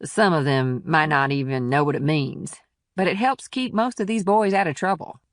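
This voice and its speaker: A man's voice: on the high side, normal speed, a bit bright, no echo, clean studio sound, voice pushed up. A man voicing a firm, plainspoken small-town policewoman who defends her townsfolk in audiobook dialogue. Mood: matter-of-fact.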